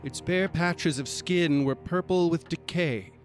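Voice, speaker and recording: A man playing a grave storyteller in a radio drama, narrating in a measured, ornate and ominous manner. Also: fairly high pitch, close to an everyday voice. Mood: somber. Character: grave storyteller recounting a grisly tragedy. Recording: music behind.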